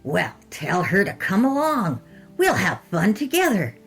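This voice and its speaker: Female narrator as male cartoon host, eerie cheer, coaxing, drawn-out words, animated pitch, horror story. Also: faint music behind. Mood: coaxing, cheerful.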